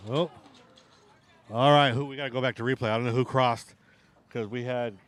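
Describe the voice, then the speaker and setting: A middle-aged man with a hoarse voice, casually commentating a live outdoor RC drag race. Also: medium pitch, steady tone, normal speed, fairly bright tone. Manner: casual, rambling banter with restarts.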